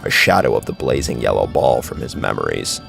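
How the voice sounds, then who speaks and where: A man's voice: rumbling low, animated, fairly quick, creaky, fairly bright tone. A man narrating a scripted horror story as a brooding, dramatic storyteller. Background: faint music.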